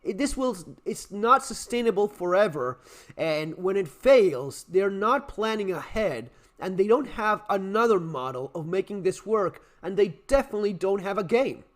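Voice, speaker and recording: A man giving a blunt, profane, exasperated rant about a video game while his voice is going hoarse. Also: high-pitched, expressive, normal speed. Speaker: gaming commentary YouTuber. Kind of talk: ranting video commentary.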